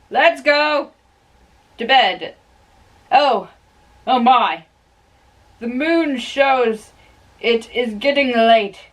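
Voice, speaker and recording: A woman playing a genial old lord hosting a banquet, courteous and deliberate, in an amateur dramatic reading of Shakespeare. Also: fairly high pitch, a bit bright.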